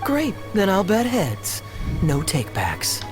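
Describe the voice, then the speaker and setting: Carefree rich male gambler for a game: animated, teasing, condescending, nonchalant, over clear background music. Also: high-pitched, slow, exaggerated voice. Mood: goading. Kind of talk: video game voice acting.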